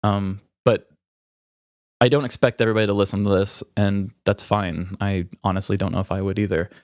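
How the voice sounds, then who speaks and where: Male podcast host, lower register, dry sound; informal, hesitant, openly emotional with grief. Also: little pitch movement, a little fast, dry recording. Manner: hesitant, self-revealing, informal. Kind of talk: candid, unscripted casual chat.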